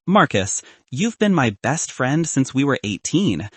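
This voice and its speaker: Man voicing a cynical best man turned nervous romantic in an audiobook; husky, animated, self-deprecating and sincere. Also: medium pitch, unhurried, no echo. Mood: heartfelt toast. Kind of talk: audiobook character dialogue.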